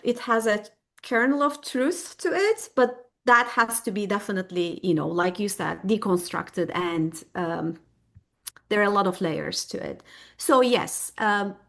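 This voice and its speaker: A woman's voice: mid-range voice, animated, measured pace, a bit bright. A middle-aged woman from Turkey, a native Turkish speaker, speaking as a guest historian in a podcast interview, thoughtful and searching for words with frequent fillers.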